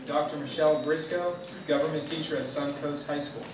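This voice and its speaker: A man's voice: a little high, steady tone, brisk. A man acting as announcer at a public reading, introducing each reader in turn. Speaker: event announcer. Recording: distant, echoey room with clear noise.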